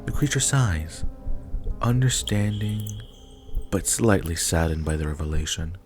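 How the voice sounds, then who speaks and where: Low-pitched man narrating a story. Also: unhurried. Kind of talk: scripted second-person ASMR story narration. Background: faint music.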